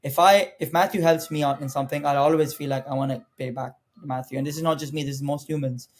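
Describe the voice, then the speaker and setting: A man's voice: a little high, quick pace. A young man from Pakistan whose first language is Urdu, a podcast guest talking energetically and persuasively about careers. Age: young adult. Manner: energetic, persuasive, talks directly to viewers. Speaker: guest, former international student. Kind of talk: casual podcast interview.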